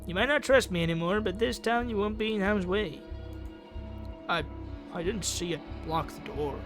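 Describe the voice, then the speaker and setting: A man's voice: high-pitched, normal speed, fairly bright tone, faint music behind. A man playing a guilt-ridden, desperate teenage friend in a visual novel game, earnest and pleading. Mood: apologetic, determined.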